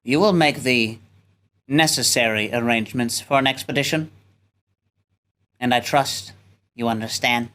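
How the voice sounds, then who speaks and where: A man playing a measured, formal ship's captain who gives orders in a radio play reading. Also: medium pitch, pushed and bright. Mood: commanding. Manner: formal, authoritative. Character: measured, authoritative ship's captain weighing a risky mission. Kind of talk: radio play cold read.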